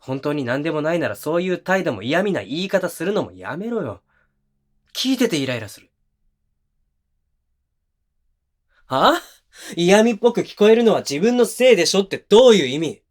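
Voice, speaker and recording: A man's voice: on the high side, big pitch swings, fairly quick. A male actor playing a defensive, hot-tempered young boyfriend in a voice drama, speaking bluntly and casually to the listener up close. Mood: irritated, then angry, indignant. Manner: blunt, casual masculine speech. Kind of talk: situation voice drama addressed to the listener. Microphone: close binaural microphone.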